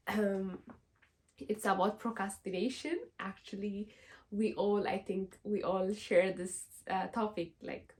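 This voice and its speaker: A woman's voice: mid-range voice, a little mellow. A young woman from Ethiopia, speaking English as an in an earnest, encouraging self-help vlog, full of "like" and "you know". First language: Amharic. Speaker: vlogger.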